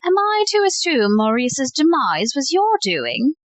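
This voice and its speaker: Woman playing a high-voiced, poised society lady. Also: big pitch swings, unhurried, no echo. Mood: composed, diplomatic. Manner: genteel, composed, wry. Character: poised, unflappable society lady, wry and diplomatic. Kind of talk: audio play acting.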